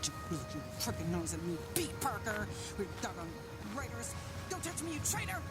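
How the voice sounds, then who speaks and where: An actress playing a tipsy, defiant wife in a period radio drama, rambling boldly. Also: female voice, on the high side, sing-song, normal speed, over loud music. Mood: drunken indignation. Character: tipsy, defiant, flirtatious wife of a travelling writer.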